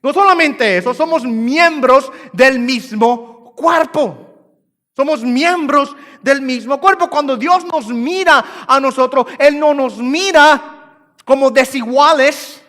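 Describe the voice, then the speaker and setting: A man's voice: very high-pitched, takes it slowly, sharp tone. A man with a Puerto Rican accent preaching a sermon as pastor, repeating phrases for emphasis and rising and falling in force. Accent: Puerto Rican Spanish. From Puerto Rico. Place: church sanctuary. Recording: church hall reverb.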